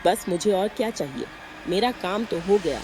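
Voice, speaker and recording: A woman voicing a witch who poses as a poor, humble young housemaid in a cartoon, over loud background music. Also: mid-range voice, animated, normal speed, natural voice. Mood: pleading. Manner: humble, pleading servant talk. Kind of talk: cartoon voice acting.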